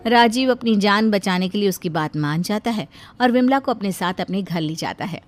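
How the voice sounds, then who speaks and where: A woman's voice: medium pitch, expressive, normal speed, faint music behind. A woman narrator reading a scripted cartoon tale in storytelling style.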